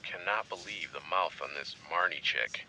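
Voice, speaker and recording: A wary crooked cop on a secret, slightly noisy tape in a crime game. His deep voice has a very hard, forceful edge. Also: moderate pace, heavily acted. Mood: worried. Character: wary crooked male police officer. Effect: covert audio recording played back. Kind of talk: naturalistic video game acting. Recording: noisy secret audio recording.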